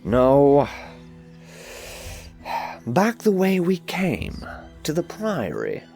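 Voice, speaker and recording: A man's voice: on the high side, wildly swooping pitch, extremely slow, creaky, warm tone, soft background music, heavily acted. A man voicing a haughty, theatrical aristocratic sorcerer in a fantasy audiobook, with a pompous, dry drawl. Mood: resolute.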